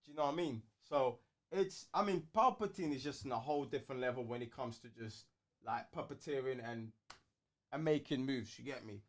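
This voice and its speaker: A man's voice: mid-range voice, brisk. A man with a London accent chatting casually as a co-host, using slang and repeating words as he builds a point. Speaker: co-host of a fan talk show. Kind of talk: casual chat.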